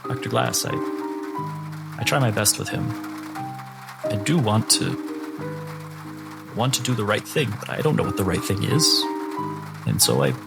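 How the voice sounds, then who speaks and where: A man's voice: lowish voice, wildly swooping pitch, gravelly, exaggerated voice. A man voicing a weary, cautious older advisor who speaks hesitantly. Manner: hesitant, measured. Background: music.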